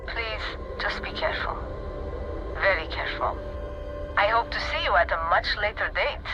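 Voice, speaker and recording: An actor playing a sly, wealthy, mysterious trader in a video game, amused and coy, with teasing, drawn-out phrasing. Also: medium pitch, lo-fi sound, over music, close to an everyday voice. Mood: gently cautioning. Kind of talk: video game character dialogue.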